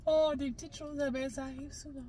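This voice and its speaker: A woman's voice: sits high, level tone, a little fast. Brief: playful Quebec woman, 40s, co-host, big laughs, phone mic in a noisy car. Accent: Quebec French. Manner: playful, teasing, laughs loudly. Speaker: travel vlogger. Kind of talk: casual chat. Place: parked car. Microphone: phone camera on the dashboard. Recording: car with clear background noise.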